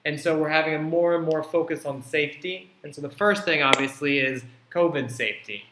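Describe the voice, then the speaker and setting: A man's voice: fairly high pitch, fairly quick. A man hosting and moderating an online panel, explaining in a loose way with plenty of fillers. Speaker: host and platform founder. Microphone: video call. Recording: echoey room with faint noise.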